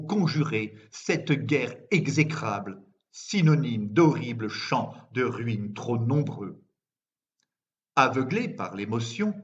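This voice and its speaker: A man reciting poetry in a solemn, declamatory manner, phrasing deliberately with pauses, as a poet reading his own work. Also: medium pitch, lo-fi sound. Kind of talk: dramatic poetry recitation in French.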